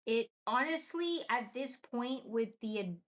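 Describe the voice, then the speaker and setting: A young woman from Venezuela hosting a live stream, chatting excitedly and answering viewers' comments. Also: fairly high pitch, unhurried. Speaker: livestream host.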